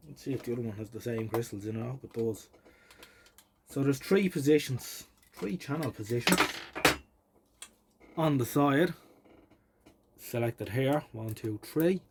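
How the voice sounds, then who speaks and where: An Irish man giving casual, unhurried hands-on commentary, muttering and thinking aloud as he tinkers, recorded on a phone. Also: mid-range voice. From Ireland. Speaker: vintage electronics hobbyist presenting. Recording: clearly noisy phone recording.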